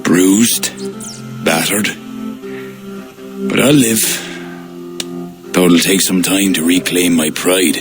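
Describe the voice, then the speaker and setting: A man's voice: deep voice, takes it slowly, pauses often. An actor playing a proud but humbled king in a video game, earnest and formal in manner. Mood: weary, wry. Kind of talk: cinematic video game acting. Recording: faint game music behind the voice.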